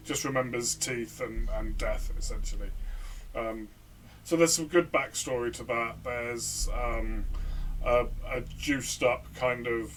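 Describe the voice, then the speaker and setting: A man's voice: medium pitch, steady tone, slow pace, fairly bright tone, far from the mic, slight background noise, rough recording. A man chatting casually as a player and co-reviewer, tentative and often repeating his words as he goes.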